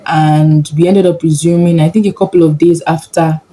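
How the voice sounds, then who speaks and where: A woman's voice: sits low, steady tone, normal speed, dark tone. A young Nigerian woman with a Nigerian accent, chatting casually and upbeat in a sit-down vlog. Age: young adult. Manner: chatty, upbeat, laughs often. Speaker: vlogger, medical student.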